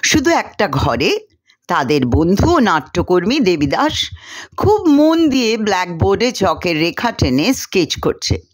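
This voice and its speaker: A woman reading a short story aloud in an expressive, deliberate storytelling manner. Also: mid-range voice. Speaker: story reader. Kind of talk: scripted narration of a short story, dramatized dialogue.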